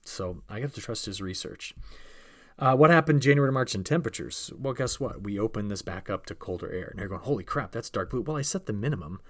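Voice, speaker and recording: A man's voice: fairly low pitch, lively intonation, fast, a bit bright. A man presenting an informal weather outlook, explaining in a conversational, emphatic way and posing rhetorical questions as a forecaster.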